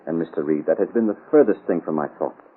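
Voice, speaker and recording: An actor playing an earnest, aggrieved doctor in a 1930s radio drama, formal and insistent, with a husky voice. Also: male voice, low voice, lively intonation, fast. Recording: muffled, phone-like old radio.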